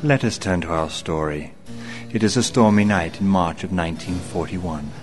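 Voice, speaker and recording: Guy doing a creepy genteel radio horror host, really deep voice, teasing and deliberate, music behind. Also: natural voice. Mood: solemn storytelling. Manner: theatrical, ominous, teasing, deliberate. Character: sinister, genteel male horror-show host. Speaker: host and narrator. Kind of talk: old-time radio horror narration.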